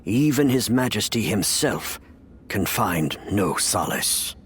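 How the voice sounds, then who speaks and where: An actor playing a grave, remorseful imperial official and adoptive father in a video game, with measured, formal delivery. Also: lowish voice, animated, slight background noise. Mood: grave, troubled. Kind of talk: video game cutscene acting.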